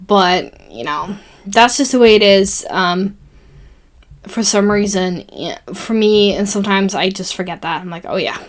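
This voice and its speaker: A young Dutch woman with a Dutch accent, a native Dutch speaker, talking through a design-software tutorial in a chatty, rambling way, full of fillers and self-corrections. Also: mid-range voice, unhurried. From Netherlands. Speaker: tutorial host. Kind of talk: casual screen-recording tutorial voice-over.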